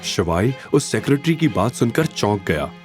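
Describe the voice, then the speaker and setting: A man narrating a scripted story in a dramatic, expressive and suspenseful manner. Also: mid-range voice, moderate pace, faint music behind.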